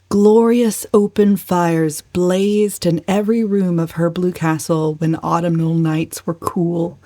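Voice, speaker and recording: A woman narrates a novel in a slightly low voice. Her delivery is measured and expressive, in the manner of a dramatic reading. The recording is very dry, with no room sound. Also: no echo. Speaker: audiobook narrator.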